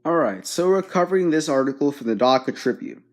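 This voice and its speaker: A man's voice: a little high, expressive, a little fast. Rambling man commenting on news. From Bangladesh. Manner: rambling, loose, lots of fillers and restarts. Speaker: host.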